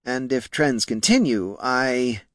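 A man voicing a timid, deferential fantasy clerk with a squeaky, anxiously formal manner in an audiobook. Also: takes it slowly, fairly bright tone, no room sound, studio quality. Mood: nervous, squeaky. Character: nervous, deferential male Scribkin financial clerk.

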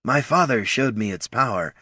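A man voicing a calm, formal male orc chieftain who speaks in measured, persuasive phrases. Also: mid-range voice, breathy edge, no room sound, studio quality, voice pushed up.

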